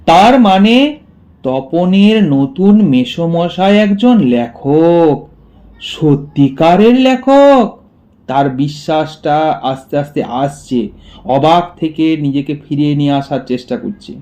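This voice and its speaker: A man's voice: fairly high pitch, lively intonation, takes it slowly, warm tone. A man teaching a literature lesson, explaining a story to students by repeating lines and asking questions he then answers. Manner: asks rhetorical questions and answers them.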